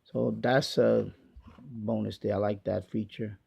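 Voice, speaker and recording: A man giving a casual hands-on product review in a halting way, repeating himself as he goes. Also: lowish voice, little pitch movement, gravelly, darkish tone, slightly rough. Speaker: gadget reviewer and radio hobbyist. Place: basement of a house. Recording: dull lo-fi home video sound.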